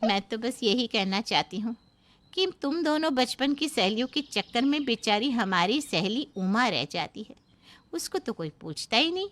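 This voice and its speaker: A woman's voice: on the high side, expressive, moderate pace, a bit bright. A woman voicing a meddling neighbour auntie in a cartoon story, insinuating and reproachful. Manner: taunting, insinuating.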